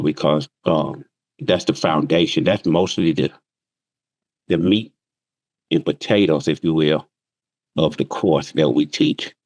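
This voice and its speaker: A man's voice: low-pitched, darkish tone, dry recording. A man teaching trading, explaining plainly with everyday examples on a remote call. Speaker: trading instructor. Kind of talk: casual interview answers.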